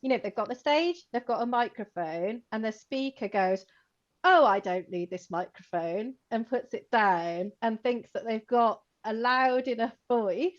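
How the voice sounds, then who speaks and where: A chatty woman with a fairly high voice talks through an informal conversation. She is animated and full of fillers, and she laughs as she makes her points. The audio is a little dull and lo-fi. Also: measured pace. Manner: chatty, animated, many fillers like "like" and "you know", laughs while talking. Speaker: co-host, co-owner of a computing education company.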